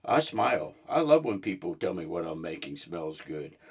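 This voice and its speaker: A man reading a children's book aloud in an expressive storybook narration style. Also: sits low, moderate pace, husky.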